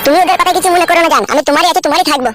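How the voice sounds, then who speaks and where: A man's voice: very high voice, racing, loud music behind the voice. A man voicing a hot-headed, crude young husband in a comic cartoon, argumentative but protective of his partner. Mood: tender, reassuring.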